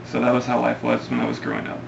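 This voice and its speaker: A man's voice: mid-range voice, monotone, very fast, gravelly. A young man from Ohio answering viewer questions in a casual vlog, hesitant and rambling with many ums. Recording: lo-fi, noisy home recording.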